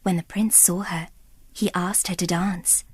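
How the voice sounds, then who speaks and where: A woman narrating a scripted children's story in an expressive, dramatic way. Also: fairly low pitch, a little slow, slight background noise.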